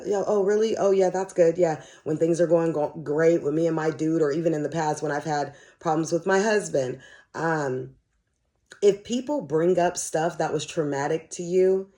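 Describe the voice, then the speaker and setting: A middle-aged American woman talks casually as the host of her own podcast. Her voice is soft-edged and mellow, with a slight dry rasp from hoarseness. She speaks candidly and conversationally, using everyday slang. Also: deep voice. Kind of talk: casual solo podcast chat.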